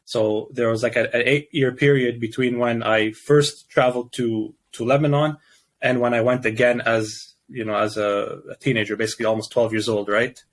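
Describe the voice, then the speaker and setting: A young man from Canada talks in a relaxed interview. He speaks in a middle register, stopping and restarting often as he gathers his thoughts, with frequent fillers. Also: medium pitch, moderate pace. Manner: hesitant, many restarts and fillers. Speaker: guest. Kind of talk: casual interview chat.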